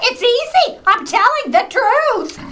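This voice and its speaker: A mischievous little girl for a radio comedy. The voice is extremely high and bright, with swooping intonation. The delivery is blunt, deliberate and impudent. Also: sing-song, exaggerated voice. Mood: cheeky. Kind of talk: old-time radio comedy acting.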